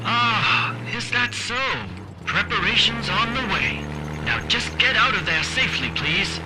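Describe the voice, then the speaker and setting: An actor playing an excitable, anxious scientist doctor in a video game cinematic. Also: male voice, high-pitched, a little slow. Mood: worried, thoughtful. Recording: clear background noise.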